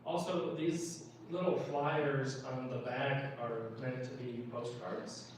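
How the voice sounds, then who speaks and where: A very reverberant, noisy room recording of an American man in middle age. He talks as a political candidate at an informal town meeting. His delivery is even in pitch, explanatory and broken by halting pauses. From Minnesota, United States. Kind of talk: informal town hall speech, answering questions. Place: indoor public meeting room, recorded from a distance. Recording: roomy echo, far mic, clear background noise.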